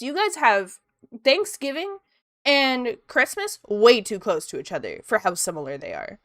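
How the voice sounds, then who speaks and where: A woman's voice: on the high side, dry recording, clean studio sound. A woman from Ontario, a sniffly art streamer chatting casually with her viewers while she draws. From Canada, Ontario. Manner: chatty, lively, reads chat aloud.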